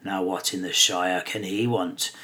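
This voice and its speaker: A man's voice: deep voice, steady tone, measured pace, bright, forceful tone, pitched below the performer's own voice. A man voicing a suspicious, grumbling male hobbit farmer who talks in folksy rustic dialect. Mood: grumbling storytelling.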